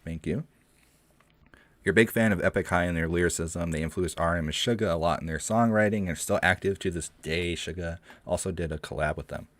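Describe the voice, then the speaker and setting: Very deep-voiced man chatting on stream. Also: a little fast, dry recording. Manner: rambling, many ums and restarts. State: shaky voice from the cold. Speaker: streamer hosting a live Q&A. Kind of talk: casual livestream chat. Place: cold room at home. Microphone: home streaming mic.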